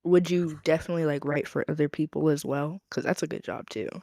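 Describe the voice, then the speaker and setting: A podcast host from the United States talks in a loose, slangy, upbeat way, her voice catching in a gravelly fry at the ends of phrases. The sound is dry and close, with no room around it. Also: low-pitched, fast, dark tone, dry recording. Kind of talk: casual interview chat.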